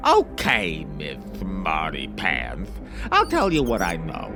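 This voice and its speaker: A spoiled tyrant king in a sci-fi fantasy audio drama. He gloats and sneers like a child, drawing words out slowly with big leaps in pitch. Music plays clearly behind him. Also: huge pitch swings, exaggerated voice. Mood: spiteful, boastful. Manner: bratty, theatrical, mocking. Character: gloating, childish, petulant villain king, taunting his prisoner.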